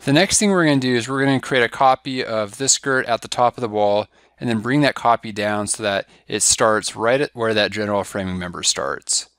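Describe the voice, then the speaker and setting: Man, low voice, software tutorial narration, step-by-step, dry close sound. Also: fairly quick, hardly any pauses, clean tone, no room sound. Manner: steady step-by-step instruction. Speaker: software trainer. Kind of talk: screen-recorded software tutorial.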